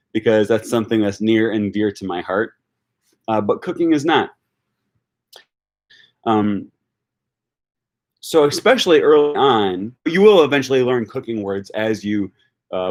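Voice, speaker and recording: Low-voiced Wisconsin man chatting on a livestream. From Wisconsin, USA. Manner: rambling, thinks aloud with many fillers and restarts. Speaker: language-learning YouTuber answering live chat. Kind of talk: casual livestream talk.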